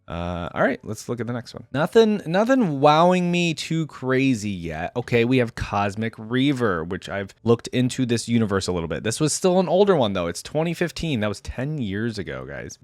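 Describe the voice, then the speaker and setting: A man's voice: mid-range voice, no room sound, clean studio sound. A man hosting a video game reaction, talking informally and conversationally as he thinks aloud. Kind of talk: casual reaction commentary.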